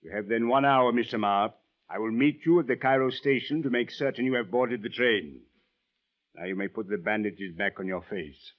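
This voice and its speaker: An actor playing a stern, principled police officer with precise, formal, authoritative speech in 1940s radio drama style. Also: male voice, fairly low pitch, normal speed, lightly acted. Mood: official. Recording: muffled old radio broadcast.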